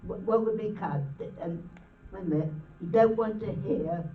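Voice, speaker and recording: A woman's voice: medium pitch, normal speed. A woman reading her own poem at an event, hesitant, stumbling and repeating words as she goes. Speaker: amateur poet at a charity event. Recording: echoey, distant, phone-like, noisy.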